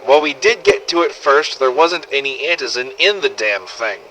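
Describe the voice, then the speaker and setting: Cynical male survivor played by an actor, mid-pitched and forceful, sardonic scripted audio-log storytelling, slightly noisy cassette-recorder effect. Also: lively intonation, normal speed. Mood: exasperated, sardonic. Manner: sweary, sardonic, world-weary storytelling. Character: gruff, foul-mouthed zombie-apocalypse survivor, wry and cynical. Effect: old cassette recorder filter. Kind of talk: scripted in-character audio diary narration. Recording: old cassette tape with faint noise.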